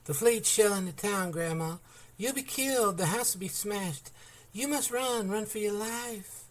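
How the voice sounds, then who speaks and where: A man voicing a frantic, commanding young woman in an audiobook, urgent and quick to shout. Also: sits high, animated, voice pushed up. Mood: panicked shouting. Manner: urgent, stormy, shouting warnings. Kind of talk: audiobook character voice.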